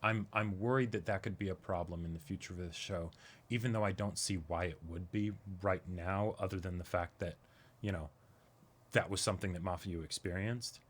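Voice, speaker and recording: A man's voice: low-pitched, steady tone, a little fast. A man co-hosting an anime show, talking casually and tentatively, often checking in with his listener. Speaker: co-host of an anime reaction show. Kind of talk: casual discussion.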